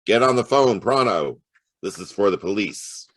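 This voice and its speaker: An actor playing a wry, hard-boiled private detective in a noir audio drama, narrating and talking in terse slang. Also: male voice, fairly low pitch, animated, normal speed, noisy background, natural voice. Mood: urgent.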